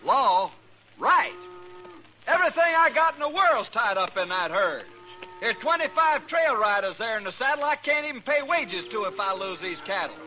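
An American actor playing a desperate, hot-tempered cattle trail boss in a 1950s radio western, blunt and folksy. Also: male voice, extremely high pitch, very animated, moderate pace, pushed and bright, full character voice. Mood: desperate, bitter. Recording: noisy vintage 1950s radio recording.

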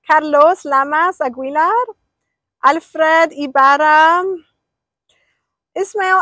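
A woman's voice: high-pitched, fairly bright tone, no echo. A young woman livestreaming outdoors by a pool, rambling casually and reading out viewers' names. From Persian.

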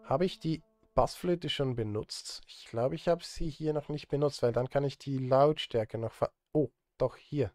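A man's voice: mid-range voice, a little slow, few pauses, a little mellow, no echo, studio quality, faint music behind. A man in his thirties chatting casually on a live stream while he works, rambling and muttering to himself in a self-deprecating way. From Switzerland. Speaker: live streamer and film composer. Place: indoor desk at a streaming setup.

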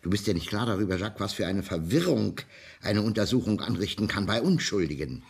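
Husky, deep-voiced old judge. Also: male voice, lively intonation, fairly quick, heavily acted. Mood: agitated. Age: older. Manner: emphatic, righteous, insistent. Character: elderly retired judge, stern guilt-ridden moralistic grandfather. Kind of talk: German radio drama acting.

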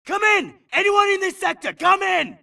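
A man's voice: very high voice, moderate pace, vocal fry, pushed and bright, distant mic, full character voice. A man voicing a frantic soldier in a video game, barking urgent clipped combat orders. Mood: frantic, commanding. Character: frantic soldier grunt shouting battle orders.

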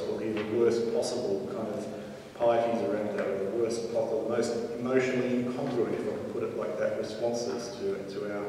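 A middle-aged man with a low, level voice introduces a guest, pausing often and filling gaps with hesitations. The sound comes from far off in a large, echoing hall. A faint room hum hangs behind him. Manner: halting, with many fillers, softening into a quiet prayer. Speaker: event host. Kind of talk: introduction and prayer. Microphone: podium mic, distant.

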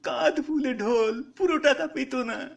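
A man voicing a poor, grieving village mother in a tearful, halting storytelling performance with a rural Bengali accent. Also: very high-pitched, creaky, exaggerated voice. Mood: sorrowful. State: crying.

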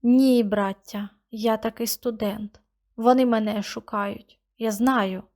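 A woman plays a desperate young male stranger in a spoken story, pleading and anguished. The voice is fairly high and keeps breaking into raw, loud outbursts. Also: fairly even intonation, slow.

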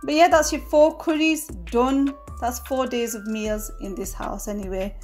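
A woman's voice: on the high side, measured pace, faint music behind. Chatty woman narrating her cooking. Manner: relaxed, chatty, with fillers. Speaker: cooking vlogger. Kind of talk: casual voice-over narration.

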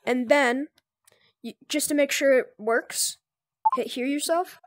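High-voiced casual tutorial presenter, dry sound. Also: slow, no room sound. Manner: informal, improvised step-by-step instructions. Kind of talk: casual screen-recorded software tutorial.

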